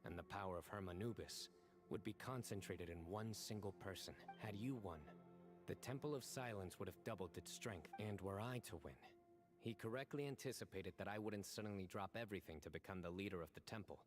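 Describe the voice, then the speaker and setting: An actor playing a composed, reserved young general in a video game, speaking formally and with measured precision. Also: male voice, lowish voice, close to an everyday voice. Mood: calm, measured. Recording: game audio over background music.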